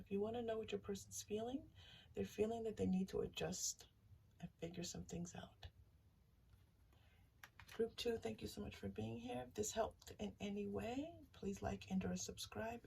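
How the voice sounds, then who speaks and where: A woman hosting a casual tarot reading, speaking to listeners directly in a soothing, reassuring way and haltingly thinking aloud. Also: mid-range voice, a little mellow. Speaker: tarot reader and channel host. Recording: distant mic, faint background noise.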